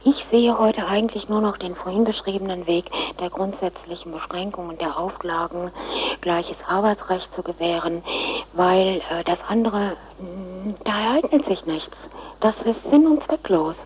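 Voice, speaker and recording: A woman giving an unscripted interview statement as an activist, halting and resigned. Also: lowish voice. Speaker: interviewed church-rights activist. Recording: muffled recording with clear background noise.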